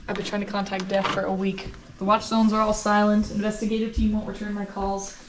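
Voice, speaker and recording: A woman acts out a cocky young amateur sleuth in an audio drama. She speaks at a natural middle pitch, brash and emphatic, eager to lay out her case. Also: little pitch movement, brisk, noisy background, natural voice. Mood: confident. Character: cocky, eager young female aspiring private investigator.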